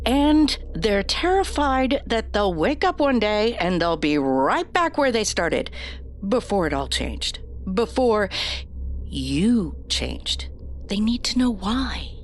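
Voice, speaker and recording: Woman acting as earnest female campaign adviser, careful, deferential, nervously composed, measured pace, lively intonation, audio drama. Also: medium pitch, a little hiss or hum. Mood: earnest, tense.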